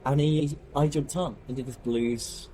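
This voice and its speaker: A man's voice: fairly high pitch, fairly even intonation, measured pace, mellow, a little hiss or hum. A man talking as a podcast guest about street piano playing, an enthusiastic storyteller who laughs often. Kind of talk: casual chat.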